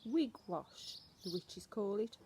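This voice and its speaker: A woman's voice: mid-range voice, animated, slow, mellow. A woman voicing a stern, knowing old grandmother who instructs emphatically and ominously in a storybook reading, with some noise on the recording. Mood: relishing, instructive.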